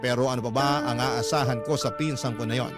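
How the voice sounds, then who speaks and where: A man's voice: mid-range voice, steady tone, fast talker. A man with a Filipino accent reading a listener's letter as a dramatic first-person story, as a radio host. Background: loud music.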